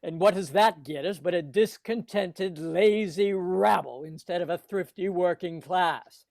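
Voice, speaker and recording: A man's voice: high voice, a little mellow, no echo. An actor playing a cold, pompous old businessman villain in an amateur radio play, speaking with contempt. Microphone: video call.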